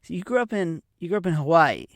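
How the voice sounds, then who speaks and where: A man hosting an interview in a casual, somewhat halting way, correcting himself as he frames his questions. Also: on the high side, animated, no room sound, studio quality. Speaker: interview host.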